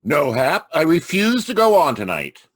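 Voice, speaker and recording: An actor playing a sulky, melodramatic battery pitchman in a 1940s-style radio comic commercial. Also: wildly swooping pitch, moderate pace, fairly bright tone, no room sound, clean studio sound, heavily acted. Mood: wounded, indignant. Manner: melodramatic, pompous. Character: sulky, theatrical male battery pitchman, wounded artiste.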